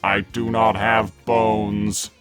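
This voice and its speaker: Man voicing a blunt, slow-talking robot. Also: lowish voice, flat delivery, over music. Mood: flatly displeased. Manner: stiff, deliberate, formal delivery. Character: blunt, literal-minded male combat robot. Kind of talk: fan-made cartoon dub.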